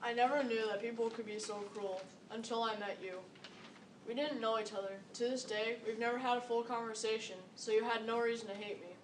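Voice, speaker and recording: A woman's voice: on the high side, fairly even intonation, fairly quick. A seventh-grade girl performing a scripted readers theater monologue. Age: about 12 to 13, seventh grader. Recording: echoey room, distant mic, faint noise.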